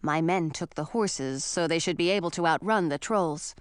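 A woman voicing an earnest, protective young man in a fantasy audiobook, speaking in a grave, firm and measured way. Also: lowish voice, clean tone, dry recording, studio quality, lightly acted. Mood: grave, reassuring.

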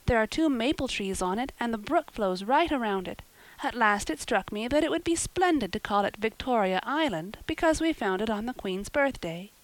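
Storybook girl, performed by a woman: high pitch, dry close sound, dramatic, wordy, pleading, wildly imaginative. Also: no room sound, natural voice, poor audio. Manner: gushing, wordy, romantic and pleading.

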